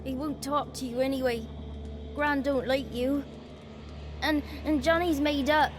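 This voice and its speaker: A woman voicing a timid yet impish little village child in a fantasy video game, with simple, halting speech. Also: sits high, fairly even intonation, noisy background. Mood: naive, defiant. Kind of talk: video game character acting.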